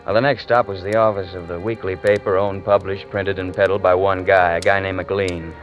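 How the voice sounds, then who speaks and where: An actor playing a world-weary, stubborn investigator in a radio drama, with a slangy, wry and terse delivery. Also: male voice, deep voice, level tone, a little fast, lightly acted. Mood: wry, weary narration. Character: world-weary hard-boiled male investigator in love, stubborn. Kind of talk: 1940s radio drama acting, first-person narration. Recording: muffled old radio recording, music behind.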